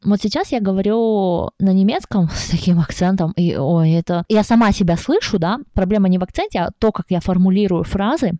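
A woman in her thirties chats on a podcast and gives listeners blunt, practical advice. Her voice is mellow and warm, and it sounds close and dry with almost no room sound. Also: mid-range voice, a little fast, hardly any pauses, no echo. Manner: direct, advising listeners plainly. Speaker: podcast co-host. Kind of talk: casual podcast chat.

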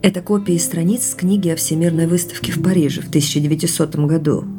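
A woman acting as a keen amateur family sleuth with a lowish voice. She tells things informally and affectionately in a naturalistic audio play, with music clearly playing underneath. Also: fast talker, lightly acted. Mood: eager.

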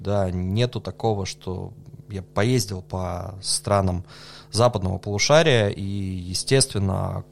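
Low gravelly-voiced man, hesitant. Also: slight background noise. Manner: thoughtful, hesitant with many fillers, laughs softly. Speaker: podcast guest, coffee judge. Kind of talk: relaxed conversational interview.

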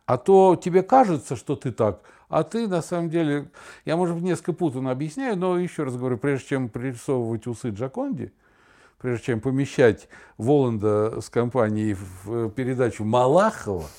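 A man's voice: medium pitch, fairly quick, mellow. A mature man co-hosting a casual podcast, opinionated and lecturing in a playful way. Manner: opinionated, mock-lecturing, wordplay. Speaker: podcast co-host, father talking with his daughter.

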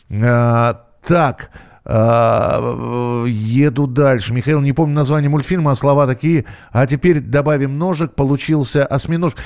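Guy hosting radio, medium pitch, reads messages out, chatty, hesitates a bit. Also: a little mellow. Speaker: radio show host. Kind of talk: casual chat, reading listener messages.

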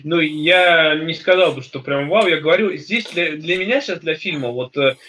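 Bright-voiced man in his early thirties, blunt. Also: fairly high pitch, normal speed, a little hiss or hum. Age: early 30s. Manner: blunt, colloquial, swears. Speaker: podcast co-host. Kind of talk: casual film discussion with joking paraphrases.

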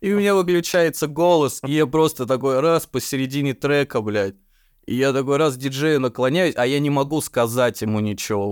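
Male baritone podcast guest, mid-pitched, rambling slangy storyteller, dry booth sound. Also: darkish tone, smooth, no room sound. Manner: slangy, swearing storyteller, laughs often. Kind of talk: casual chat.

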